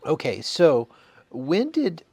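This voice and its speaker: A man's voice: on the high side, huge pitch swings, darkish tone, breathy edge, no room sound. A man from Southern California interviewing an older guest, reacting with enthusiasm. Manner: enthusiastic, quick reactions and laughs. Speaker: interviewer, museum host. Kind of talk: casual interview.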